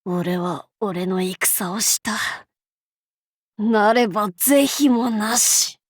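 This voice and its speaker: A woman playing a brash, cocky young feudal warlord in an anime game, with rough, masculine, archaic and boastful speech. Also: medium pitch, drawn-out words, no echo, studio quality. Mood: weakened, resigned. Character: brash, cocky young feudal warlord, self-styled fool, fiercely loyal. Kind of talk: anime game character voice acting.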